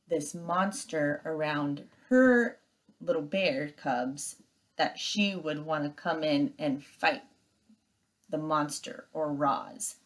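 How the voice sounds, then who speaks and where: Lively, low-pitched woman reading aloud. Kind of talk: expressive storybook narration and instruction.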